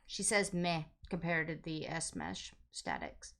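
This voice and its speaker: Bright-voiced woman reading comments. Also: low-pitched, big pitch swings, measured pace, slight background noise. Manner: reads comments aloud, teasing. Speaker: co-host reading viewer comments. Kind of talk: casual livestream chat.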